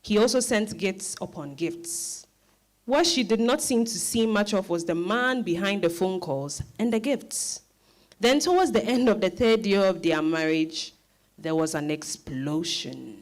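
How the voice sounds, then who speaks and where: A woman narrating a dramatized story as an expressive storyteller. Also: medium pitch, measured pace.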